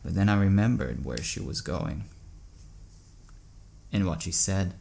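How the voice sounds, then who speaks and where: A troubled man in an audio drama, confessing dark thoughts. He speaks slowly and haltingly, with a low voice full of gravelly fry. He sounds brooding and haunted. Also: lively intonation, heavily acted. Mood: haunted, confessional.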